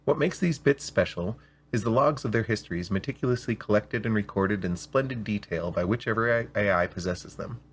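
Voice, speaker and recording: A man with a slightly rough voice, hosting and reading scripted stories aloud in an expressive, deliberate way. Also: lowish voice, slight background noise. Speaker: storyteller and show host. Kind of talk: scripted story reading with spoken intro.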